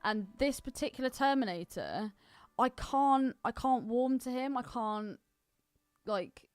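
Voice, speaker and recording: A woman's voice: fairly high pitch, a little slow, a little mellow, smooth, no room sound, clean studio sound. A woman co-hosting a podcast, chatting casually about films in an opinionated, bantering way. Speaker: podcast co-host. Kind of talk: casual film discussion chat.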